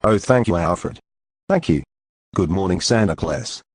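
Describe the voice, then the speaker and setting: An actor playing a fussy, eager department store manager with an officious, effusive manner in an old-time radio drama. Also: male voice, deep voice, lively intonation, measured pace, a little mellow, slightly rough, no echo, clean studio sound, full character voice.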